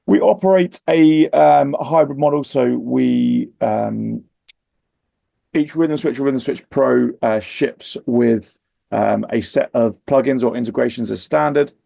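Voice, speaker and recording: Male product presenter, heavy vocal fry, mellow and husky, informal and explanatory. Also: medium pitch, measured pace, no room sound. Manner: explanatory, matter-of-fact.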